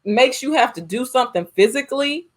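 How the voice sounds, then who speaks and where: An American woman with a somewhat high voice speaks as a podcast co-host. Her talk is casual and opinionated, and she repeats short phrases firmly to press each point. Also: animated, a little fast. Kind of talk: casual movie discussion.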